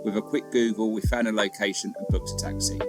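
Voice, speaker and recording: A man's voice: on the low side, flat delivery, fast talker. A man narrating a travel vlog as a scripted voice-over. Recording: loud music behind voice-over. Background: loud music.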